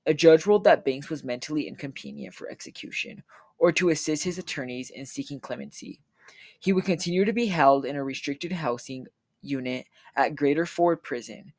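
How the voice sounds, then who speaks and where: Man, true crime narration, gravelly fry, dry close sound, getting sick. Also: on the high side, fairly quick, a little mellow, dry recording. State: feels he is losing his voice and getting sick. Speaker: true crime video creator. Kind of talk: read true crime narration with a casual outro.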